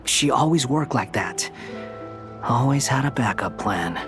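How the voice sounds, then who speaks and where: Aloof, sardonic young wanderer. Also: male voice, medium pitch, animated, a little slow, music in the background. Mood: reflective. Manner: blunt, dry, reflective. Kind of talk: dramatic video game dialogue.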